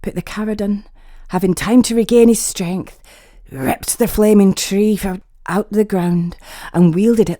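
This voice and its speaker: Female story narrator for scripted fantasy horror in first person; soft-edged, mellow tone, very dry close sound. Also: medium pitch, dry recording.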